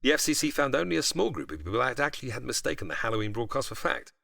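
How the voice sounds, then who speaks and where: A man's voice: medium pitch, very animated, racing, vocal fry, fairly bright tone, no echo, clean studio sound. A man giving prepared, articulate explanations as an expert guest, with a storyteller's delivery. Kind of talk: explanatory interview, largely prepared narration.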